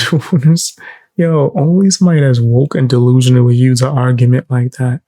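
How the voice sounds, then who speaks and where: Brief: man, soft low voice, relaxed slangy streamer banter, close mic, dry sound. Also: normal speed, no echo. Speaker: streamer host. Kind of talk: casual reaction stream chat. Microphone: desk mic close up.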